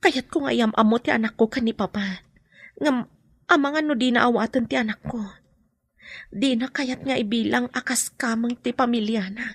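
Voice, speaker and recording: Woman acting a gentle, husky-voiced mother. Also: on the high side, normal speed, dry recording. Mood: sorrowful. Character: gentle, burdened mother keeping a secret. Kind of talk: Ilocano radio drama acting.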